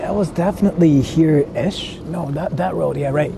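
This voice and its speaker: A man's voice: a little high, normal speed. A man from Japan chatting casually on a livestream, rambling and thinking aloud in informal language with some swearing. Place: outdoors on a street, walking. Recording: clear outdoor street and traffic noise.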